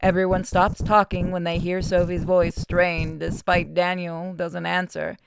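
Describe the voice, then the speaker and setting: A woman's voice: on the low side, flat delivery, unhurried, vocal fry, noisy background. A woman narrator reading the story and stage directions of a visual novel aloud.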